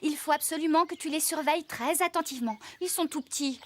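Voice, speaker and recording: A woman playing a brisk, caring woman farmer in a children's cartoon, firm and organised as she gives instructions. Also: sits high, slight background noise, lightly acted.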